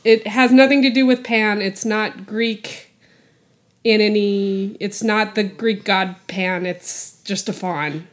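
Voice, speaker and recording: A woman's voice: medium pitch, fairly even intonation, slow. A woman co-hosting a movie podcast in casual chat, recapping a plot in a rambling way and laughing often. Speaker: podcast co-host.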